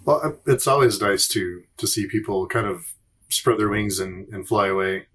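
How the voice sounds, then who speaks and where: A man co-hosting a podcast in casual chat, halting and often repeating his phrases. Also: fairly low pitch, steady tone, a bit bright. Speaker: podcast host.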